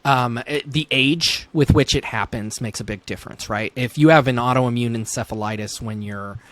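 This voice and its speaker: A man's voice: mid-range voice, normal speed, a bit bright, no room sound. A man speaking as a neurologist guest in an interview, thinking aloud as he explains clinical points conversationally.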